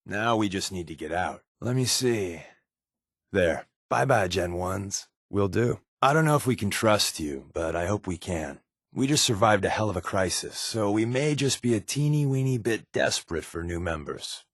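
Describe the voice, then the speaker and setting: A man's voice: on the low side, animated, moderate pace, no echo. A man playing a wry, sarcastic spy for a secret resistance in a video game, glib and joking with dry asides. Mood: friendly, candid. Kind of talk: video game character dialogue.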